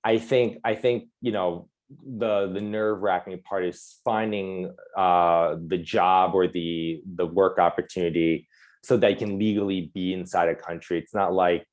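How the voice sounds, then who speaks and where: Halting young man on a video call. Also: sits low, steady tone, darkish tone. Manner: halting, many fillers and restarts. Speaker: webinar panelist. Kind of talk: casual webinar talk.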